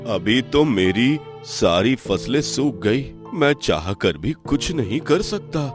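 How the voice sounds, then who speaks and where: A man's voice: medium pitch, very animated, takes it slowly, soft background music. A man playing an honest, poor village farmer who pleads and laments in a moral story. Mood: resigned.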